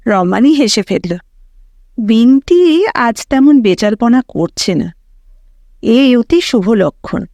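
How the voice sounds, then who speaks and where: A woman narrating a story as an audiobook reader, in an expressive, dramatic way that brings out the characters' thoughts. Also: mid-range voice, dry recording.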